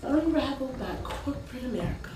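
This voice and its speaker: Actress doing proud fed up mom in a stage play, high voice, big swings, sarcastic and defiant. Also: female voice, lively intonation, fast. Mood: bitter, weary. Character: proud, indignant struggling wife and mother applying for welfare. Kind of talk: theatrical stage play acting with dramatic monologue. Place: theater stage, recorded from a distance. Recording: echoey stage hall, far mic, faint noise.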